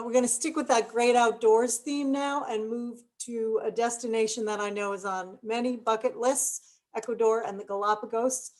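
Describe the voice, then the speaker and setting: A woman's voice: on the high side, a little mellow. Stammering woman moderating a webinar. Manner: stammering, repeats words. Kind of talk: hosting a webinar.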